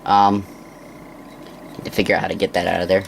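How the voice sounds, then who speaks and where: A man with a somewhat deep voice talks through a hands-on task as he does it. His delivery is casual and halting, muttered in an unscripted way. The amateur recording has a clearly audible rumbling noise behind him. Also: little pitch movement, rough recording. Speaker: presenter of a hands-on science demonstration. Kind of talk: unscripted hands-on demonstration commentary.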